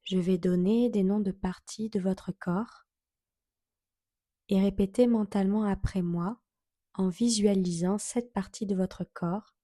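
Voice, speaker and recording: A woman leading a guided yoga relaxation as an instructor, giving soothing, measured instructions with long pauses. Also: medium pitch, steady tone, mellow, dry recording, studio quality.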